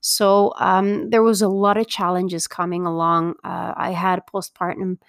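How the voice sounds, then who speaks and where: A middle-aged woman from Belgium hosting her own podcast as a parenting coach, talking earnestly and upbeat with many filler pauses. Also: fairly low pitch, flat delivery, dry recording, studio quality. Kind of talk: solo podcast introduction.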